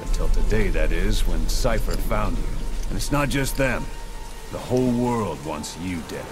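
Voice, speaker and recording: An actor playing a gruff male mercenary commander in a video game cutscene, giving a blunt, clipped mission briefing over loud music and rain. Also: sits low, expressive, unhurried.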